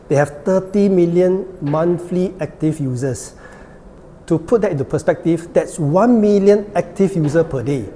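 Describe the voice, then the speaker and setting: A man's voice: on the high side, dark tone. Reverberant podcast host, man, upbeat. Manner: upbeat, punchy introductions. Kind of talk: interview hosting. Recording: long reverb, faint background noise.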